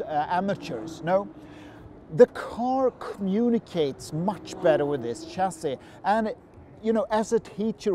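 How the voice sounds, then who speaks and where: A man's voice: high voice, a little hiss or hum. A middle-aged man, talking casually through a headset mic while driving a sports car on a track. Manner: excited, exclaims while driving. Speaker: car vlogger. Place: inside a sports car on a racetrack.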